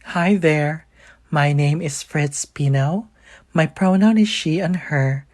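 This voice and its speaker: A woman's voice: deep voice, slow pace, a little mellow, no room sound, clean studio sound. A woman from the Philippines, an assistant professor, giving a formal and plain self-introduction.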